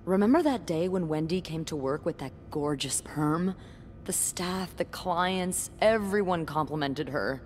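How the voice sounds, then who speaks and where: A woman's voice: fairly low pitch, lively intonation, unhurried, a little mellow, long reverb tail, slight background noise. A woman voicing a wry, chatty hotel receptionist in a narrative video game. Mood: wry, gossipy.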